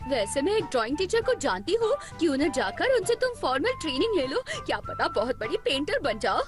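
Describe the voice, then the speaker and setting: A woman voicing an expressive, doting mother in a dubbed cartoon, gushing and motherly. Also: high voice, brisk, over music. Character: doting, effusive mother, a friendly neighbourhood aunty.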